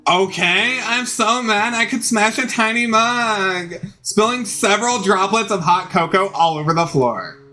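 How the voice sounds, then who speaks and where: A man voicing a dramatic, indignant young female student in a video game, with drawn-out whining delivery. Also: high-pitched, sharp tone, soft background music, voice pushed up. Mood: fuming.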